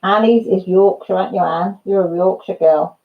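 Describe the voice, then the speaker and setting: A woman's voice: lowish voice, steady tone, normal speed, vocal fry, narrow, tinny audio. A Welsh woman in her fifties, a channel host chatting casually with viewers, calling them by name and laughing through her stories. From Wales. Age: 50s. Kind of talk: casual live chat and storytelling.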